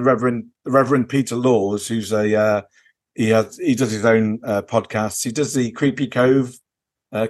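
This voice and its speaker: A man co-hosting a casual podcast, telling things in a rambling, repetitive way. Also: mid-range voice. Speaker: podcast co-host. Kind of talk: casual chat, anecdotes.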